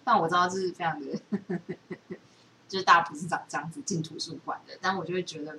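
A woman with a Taiwanese Mandarin accent chatting casually and telling anecdotes in an animated way. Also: fairly low pitch, fast talker, low-quality recording. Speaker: podcast co-host. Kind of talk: casual chat, personal anecdotes. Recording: faint background noise.

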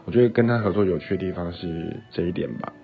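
A man's voice: sits low, fast talker, creaky. A man rambling casually in a recorded message, on a dull lo-fi recording. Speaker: photographer's model leaving a recorded message.

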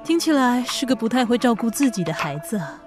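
A woman's voice: fairly high pitch, big pitch swings, fairly quick, soft background music. An actress playing a kindly, chatty female cake shop manager in an audio drama, with a Taiwanese accent. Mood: kindly, curious.

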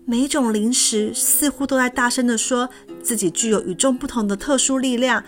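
A woman with a Taiwanese Mandarin accent reads a storybook aloud in a gentle, even way. Her voice sits fairly high for a woman. Soft music plays faintly beneath her. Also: moderate pace. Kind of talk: scripted storybook narration.

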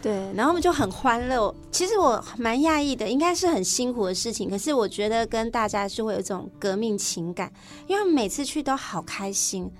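A woman with a fairly high voice and a Taiwanese Mandarin accent talks as a teacher being interviewed. She chats casually and enthusiastically in anecdotes, with soft music in the background. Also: lively intonation, a little fast. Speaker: English teacher, interview guest.

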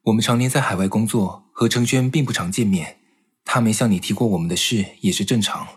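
An actor playing a composed, considerate young man in an audio drama, measured and polite. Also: fairly low pitch, slightly rough. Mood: courteous, sympathetic. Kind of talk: Mandarin audio drama acting.

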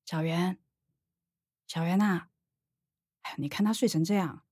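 An actress playing a soft-hearted middle-aged mother who placates her daughters, with a Taiwanese accent, in a radio drama. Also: fairly low pitch, fairly even intonation, measured pace, slightly rough, dry recording. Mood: hushed, concerned.

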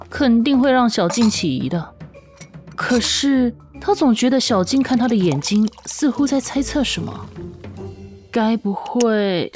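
一位女性有声小说旁白，照着剧本富有表情地讲述故事。. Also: female voice, on the high side, a little mellow. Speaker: audiobook narrator. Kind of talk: expressive scripted story narration. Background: background music.

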